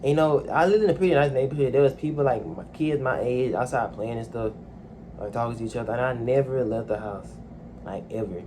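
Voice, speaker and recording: A young man speaks into a phone in a quiet room at home, his voice neither high nor low. He talks haltingly, trailing off and filling gaps with ums. A faint hiss sits under the recording. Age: young adult. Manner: soft-spoken, hesitant, many fillers. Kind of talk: casual personal monologue.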